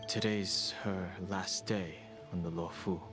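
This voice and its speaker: A man's voice: sits low, steady tone, slow pace. A man voicing a serious, reserved young companion in a video game, terse and understated. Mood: reserved, grave. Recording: very loud game music behind. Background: game music.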